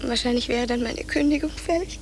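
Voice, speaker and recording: An actress playing a harried young office employee, flustered and pleading, in a German radio play. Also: female voice, on the high side, fairly quick, darkish tone, natural voice, rough recording. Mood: urgent pleading. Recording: faint hiss.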